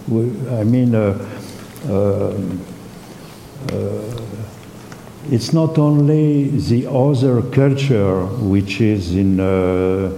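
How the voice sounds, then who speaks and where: A man with a very dark, low-set voice speaks slowly and haltingly, restarting his sentences and filling gaps with "uh." He comments as a panelist. His voice echoes around a large hall, with a faint hiss underneath. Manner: halting, heavy with fillers and restarts. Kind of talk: hesitant spontaneous remarks. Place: conference hall.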